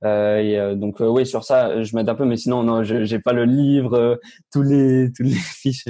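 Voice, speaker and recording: A man's voice: mid-range voice, fairly even intonation, normal speed, mellow. A teenage man, a young tennis player answering interview questions casually, hesitant with many fillers and restarts. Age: teens.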